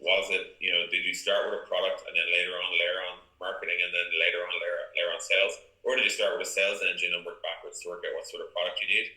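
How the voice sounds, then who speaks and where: A man with a deep, very forceful and bright voice answers interview questions. He talks informally, working ideas out as he goes and repeating words for emphasis. The sound is that of an echoey internet call. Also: level tone, fast, few pauses. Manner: informal, thinks aloud, emphatic repetition. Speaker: interview guest, software company co-founder. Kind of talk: interview answers, casual business talk. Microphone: video call.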